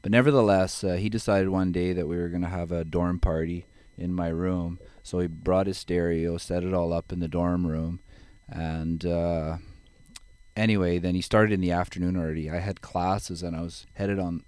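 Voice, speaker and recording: A man's voice: deep voice, level tone, moderate pace, darkish tone, dry recording. A middle-aged man from the Netherlands, a guest in an interview, telling stories in an easygoing way and chuckling along. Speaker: guest, genetics advisor. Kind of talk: relaxed interview storytelling.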